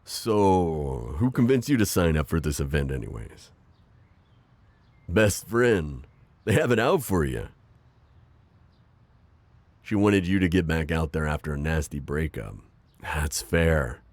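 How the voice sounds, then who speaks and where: A man voicing a gentle, self-deprecating divorced construction-worker dad in an ASMR roleplay, hesitant and stammering with soft jokes. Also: very deep voice, animated, takes it slowly, long pauses, no echo, heavily acted. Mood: shy, flirty. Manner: hesitant, full of ums and stammers, joking softly. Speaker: voice actor.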